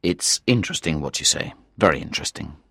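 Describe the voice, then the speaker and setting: A man voices a composed police inspector in a storybook recording. He questions politely and evenly in a low voice. The recording is dry and close. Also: expressive, a little fast, creaky, sharp tone, husky, no echo, heavily acted. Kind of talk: audiobook character reading.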